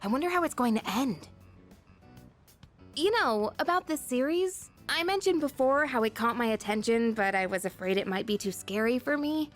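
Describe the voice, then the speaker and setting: A woman voicing a friendly, earnest, slightly shy teenage schoolgirl in a video game, soft-hearted and reflective. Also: a little high, expressive, moderate pace, natural voice. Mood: warm, admiring. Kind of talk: English video game voice acting. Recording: background music under the voice.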